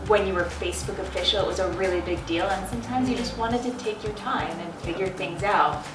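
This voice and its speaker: A woman's voice: medium pitch, a little fast. A woman of twenty-nine reflecting in casual chat on a studio sofa. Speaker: panel guest. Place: studio set with sofa, indoors. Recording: roomy, distant, music bed.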